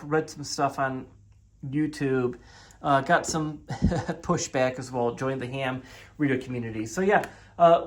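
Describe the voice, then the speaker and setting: An American man hosts a casual gear review and walkthrough, chatting informally to viewers as he explains things for beginners. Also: a little high. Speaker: host of a product review video.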